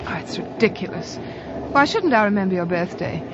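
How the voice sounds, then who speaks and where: An actress playing an outwardly devoted but scheming wife, sweet and indignant, in an old-time radio drama. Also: female voice, mid-range voice, very animated, fairly quick. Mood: defensive. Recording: muffled noisy old radio recording.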